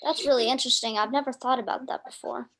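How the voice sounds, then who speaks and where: Higher-voiced woman interviewer, attentive. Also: steady tone, fast talker, a bit bright. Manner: attentive, frequent short affirmations. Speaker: interviewer, musician. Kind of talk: casual interview conversation.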